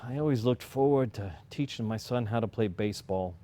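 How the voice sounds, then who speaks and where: A man's voice: medium pitch, fairly quick, mellow, natural voice. A man playing an easygoing, joking and slightly insecure 1950s working husband in a staged play reading. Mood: uneasy, tender. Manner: joking, folksy.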